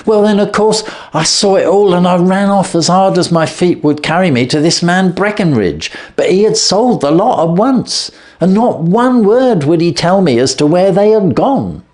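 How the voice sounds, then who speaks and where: A man puts on a frightened young thief, his voice pitched up and swinging widely as he pleads. The confession pours out in a hurried, desperate rush. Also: expressive, darkish tone.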